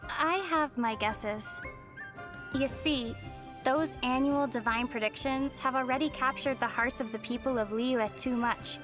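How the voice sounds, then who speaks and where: A woman voicing a composed young female traveler in a video game, gentle, measured and thoughtful, with a wry edge. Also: sits high, music in the background. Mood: thoughtful, knowing. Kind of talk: video game character voice lines.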